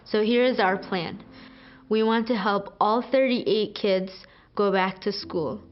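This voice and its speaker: A teenage girl from Ethiopia, a student, narrating a scripted video in an earnest, measured way. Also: mid-range voice. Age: teens. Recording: muffled, over guitar music.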